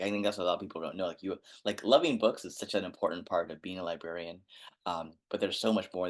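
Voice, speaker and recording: Deep-voiced, enthusiastic man rambling. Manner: enthusiastic, rambling with many restarts and fillers; says he has a quiet speaking voice. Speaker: guest librarian. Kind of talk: casual podcast interview.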